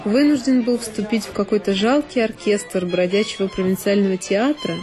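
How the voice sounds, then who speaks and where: A woman's voice: medium pitch, fairly even intonation, normal speed, mellow. A woman narrating a classic novel as a scripted solo audio performance. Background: music.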